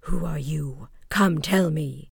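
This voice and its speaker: A woman's voice: low-pitched, takes it slowly, creaky, breathy edge, dry recording, studio quality, pitched below the performer's own voice, exaggerated voice. A woman voicing a hungry grey wolf in a folk tale, insistent and demanding. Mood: sly, demanding.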